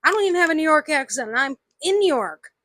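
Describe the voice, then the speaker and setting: An American woman with a slight Southern lilt, venting her anger straight into the camera. Her voice is bright and edgy, a little higher than most, and close and dry with no room around it. She hammers each point home and says it twice. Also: expressive, fast, no echo. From United States. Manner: indignant, emphatic, repeats herself. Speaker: vlogger. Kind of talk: angry opinion rant to camera.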